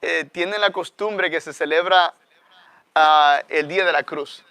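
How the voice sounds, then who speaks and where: A Salvadoran man speaks as a guest priest in a conversational teaching style. His voice sits a little high and is smooth and clear, in a dry recording. He reflects as he goes, adding short questioning tags. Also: unhurried, pushed and bright, dry recording. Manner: reflective, often adds a questioning "¿no?".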